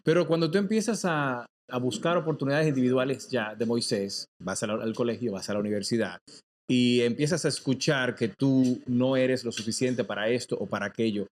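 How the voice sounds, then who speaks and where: A man's voice: mid-range voice, fairly quick. Reflective male interview host. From Dominican. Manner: reflective, asks long framing questions.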